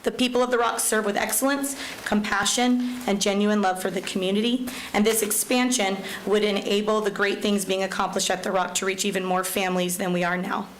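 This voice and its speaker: A woman school principal with a loud voice, speaking at a public hearing and reading a letter aloud. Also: medium pitch, steady tone, a little fast, few pauses. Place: county commission chamber. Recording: echoey chamber with faint hum.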